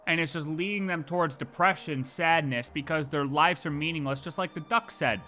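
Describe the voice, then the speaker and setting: A man's voice: fairly high pitch, normal speed, pushed and bright, faint music behind. A man streaming his live reactions to a game story in casual, slangy, sweary and mocking commentary. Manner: slangy, sweary, mocking, acts out imagined quotes.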